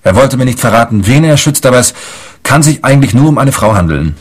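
An actor playing an eccentric, unworried client in a comic radio play, husky and matter-of-fact about absurd things. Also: male voice, mid-range voice, expressive, fast, soft background music. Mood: hedging, protective. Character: eccentric male client, unworried, defending his crazy brother.

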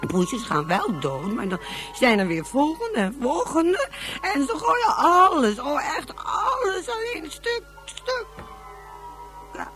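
A high-voiced, drunken, clinging mother. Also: sing-song, slow, music in the background, full character voice, rough recording. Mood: agitated. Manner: rambling, repetitive, slurred and dramatic. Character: drunken, anxious, clinging mother of a young boy. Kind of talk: Dutch science-fiction radio drama.

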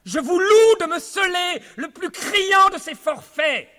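A man's voice: very high-pitched, lively intonation, slow, pushed and bright, voice pushed up, heavily acted. A man playing an eloquent, indignant philosopher confronting a clergyman in a dramatic reading, with icy, thunderous emphasis. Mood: cold, forceful. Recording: long reverberant hall echo.

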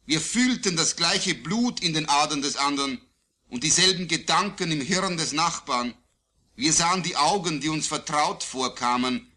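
A man reciting his own poetry solemnly, in a declamatory, deliberate way with phrases falling at the line endings. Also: on the high side, pushed and bright. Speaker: poet. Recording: digitized vintage vinyl record.

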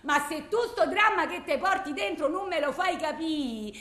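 An actress playing a bitter, distraught blind widow in a theatrical monologue, rambling plaintively in a colloquial Roman accent. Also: female voice, high voice, expressive, normal speed, a bit bright. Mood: bitter, agitated. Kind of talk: theatrical phone-message monologue. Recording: echoey room.

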